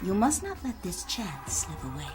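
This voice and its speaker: A woman's voice: mid-range voice, wildly swooping pitch, normal speed, music in the background. A woman voicing a scheming ninja spymaster giving cool instructions.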